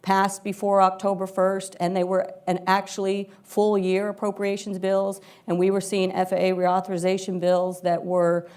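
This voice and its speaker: A woman's voice: medium pitch, completely flat delivery, moderate pace, hardly any pauses, darkish tone, clear, resonant tone. A woman speaking as a panelist, giving an earnest, spontaneous answer at a live discussion. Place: live panel event before an audience.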